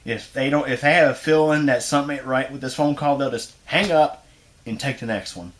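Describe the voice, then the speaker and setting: A man's voice: medium pitch, fairly quick. Folksy man talking to camera. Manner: rambling, folksy, many ums and restarts. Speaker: YouTuber. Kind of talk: casual vlog talk to camera.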